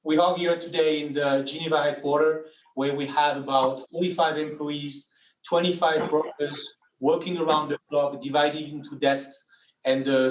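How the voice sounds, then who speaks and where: Hesitant male executive on a panel; flat tone, recorded in a roomy office away from the mic. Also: on the high side, a little hiss or hum. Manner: hesitant, many fillers. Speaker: panelist, private jet booking executive. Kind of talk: online panel introduction. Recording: roomy sound from a distance.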